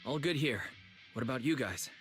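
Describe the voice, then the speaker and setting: A man playing a calm, earnest, analytical young guide in a video game, speaking in a measured, serious way. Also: medium pitch, loud music behind the voice, natural voice. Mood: assured.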